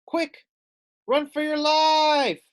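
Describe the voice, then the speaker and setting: A man's voice: very high voice, fairly even intonation, drawn-out words, pitched above the performer's own voice, full character voice. A man voicing a sly Arctic fox, a dramatic trickster in a children's storybook. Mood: howling alarm.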